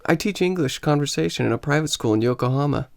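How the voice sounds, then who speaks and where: An actor with an American accent playing a weary, grumbling English teacher on vacation in a radio play, speaking somewhat hesitantly. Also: male voice, a little high, fast, creaky, no echo, studio quality. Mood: casual, hesitant.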